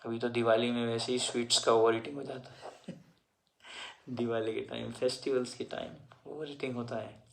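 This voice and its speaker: A man giving a devotional discourse in Hindi, slowly explaining scripture verses and mixing in English words. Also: medium pitch, little pitch movement, creaky. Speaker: devotional speaker.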